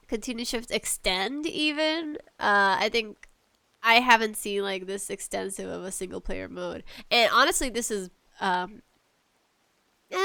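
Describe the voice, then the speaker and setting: A woman hosting a casual gaming podcast, talking solo in a slangy, conversational way with animated asides. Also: medium pitch, clean tone, no echo. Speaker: podcast host.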